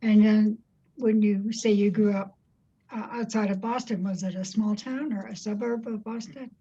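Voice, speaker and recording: Female interview host, conversational delivery, soft mellow timbre with some huskiness, slightly lo-fi sound. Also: mid-range voice, fairly even intonation, normal speed, slightly rough.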